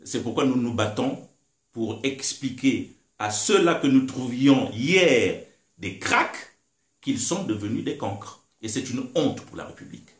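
Indignant man, lively roomy voice. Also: medium pitch, measured pace. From Republic of the Congo. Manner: indignant, emphatic, rhetorical. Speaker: guest, political commentator. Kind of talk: impassioned interview talk. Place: living room, seated in armchair. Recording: roomy echo.

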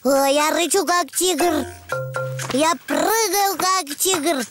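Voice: extremely high pitch, slow, over loud music. An actor playing a cheerful little donkey boy in a preschool cartoon, exclaiming and chattering in short simple phrases. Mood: playful.

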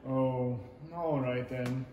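A man's voice: medium pitch, fairly even intonation, very slow, highs cut off. A middle-aged man playing a bumbling, hesitant, impatient king in a 1930s-style stage radio play. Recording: echoey theatre stage, clear hiss and clicks.

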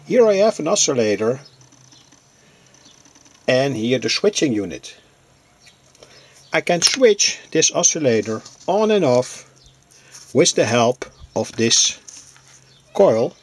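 A man giving an unscripted hands-on demonstration as a hobbyist presenter, explaining things in a halting, deliberate way. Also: mid-range voice, big pitch swings, breathy edge.

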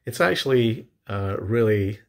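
Low, gravelly man. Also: expressive. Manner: explanatory, hesitant, halting phrasing. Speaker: DIY home tech presenter. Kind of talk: informal tutorial narration.

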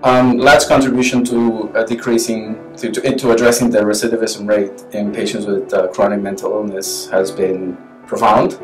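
A middle-aged male hospital physician answering interview questions in his office, halting with many fillers and restarts. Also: on the low side, flat delivery. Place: office, indoors. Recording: roomy office with music bed.

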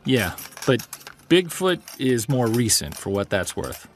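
An actor playing a calm, courteous investigator who explains things in a measured way in an audio drama. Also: male voice, mid-range voice, a little hiss or hum. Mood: informative. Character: calm, polite male investigator of mysterious creature sightings. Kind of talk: audio drama dialogue and narration.

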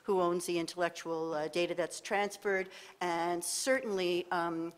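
A woman panelist speaking in a lecture hall, measured and self-deprecating, with many fillers. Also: on the low side. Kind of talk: panel discussion remarks. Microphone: table microphone.

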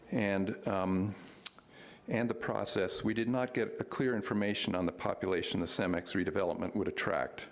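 A man in his sixties giving a public comment at a county board meeting, speaking in a measured, hesitant way with many fillers into a podium microphone. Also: low voice.

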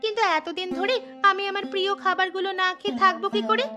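A woman's voice: very high-pitched, fairly even intonation, quick pace, music in the background. A woman voicing a stubborn young city wife in a cartoon, pouty and complaining. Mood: sulky.

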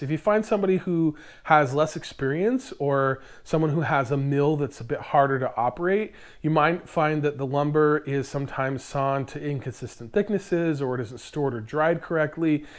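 An American man in his thirties presenting explanatory DIY tips to camera, recorded indoors on a lapel mic. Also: fairly high pitch. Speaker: host of a DIY woodworking channel. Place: indoor workshop in front of lumber racks.

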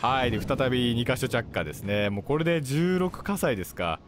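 A man commentating on gameplay as a video host, explaining politely in short, halting phrases. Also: mid-range voice, expressive. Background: game music and artillery fire.

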